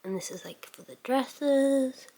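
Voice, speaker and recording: A woman's voice: high-pitched, animated, a little slow, dark tone. A woman giving a casual makeup tutorial as a beauty vlogger, musing aloud as she works and letting sentences trail off.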